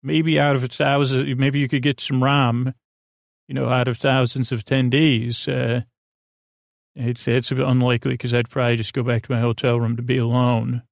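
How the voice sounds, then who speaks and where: Male sleep podcast host, middle-aged, mid-pitched and croaky, silly meandering monologue with repeats and unfinished thoughts. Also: little pitch movement, normal speed, no echo, studio quality. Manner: silly, whimsical. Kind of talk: rambling bedtime monologue.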